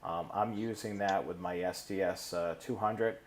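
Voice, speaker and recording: A man co-hosting a hobby live stream in a casual, informal, halting presenting style. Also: sits low, fairly even intonation, slight background noise.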